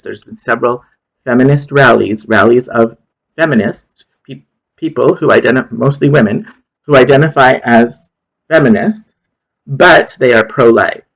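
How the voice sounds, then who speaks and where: A man with a cold, sounding congested and nasal, talking in a casual, rambling monologue full of fillers and restarts, with low energy. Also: creaky, low-quality recording. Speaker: channel host.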